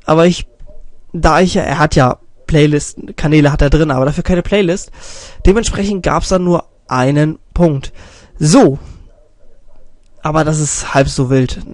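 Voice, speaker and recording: A man's voice: fairly high pitch, a little mellow, dry recording. A young man with a slight cold reviewing a channel from his computer, rambling and correcting himself, recorded on a desk stand microphone. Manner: rambling, self-correcting, many fillers. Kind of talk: casual screen-recorded commentary.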